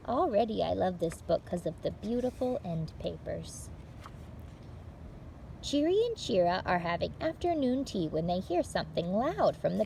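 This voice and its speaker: A woman hosting story time for young children, reading aloud in an animated, encouraging way with simple phrasing. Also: mid-range voice, gravelly, a little hiss or hum, low-quality recording.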